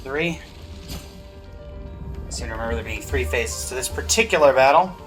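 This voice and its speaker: Medium-pitched man reacting to a game. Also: animated, normal speed, fairly bright tone, faint music behind. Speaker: reaction video host. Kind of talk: casual gameplay reaction commentary.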